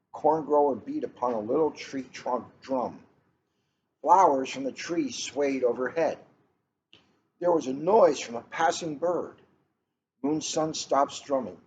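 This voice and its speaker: A middle-aged man with unhurried, deliberate phrasing, chatting casually and reading a storybook aloud. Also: medium pitch, a little mellow. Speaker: police officer reading a picture book aloud. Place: coffee shop, indoors. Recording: muffled, noisy room recording.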